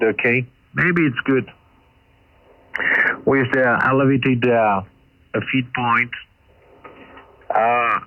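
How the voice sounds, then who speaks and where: Muffled shortwave radio voice, halting non-native man. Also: medium pitch, no echo. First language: not English. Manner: halting, searching for words, repetitive. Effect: ham radio transmission. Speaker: amateur radio operator. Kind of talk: casual radio conversation. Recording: muffled, band-limited shortwave radio signal.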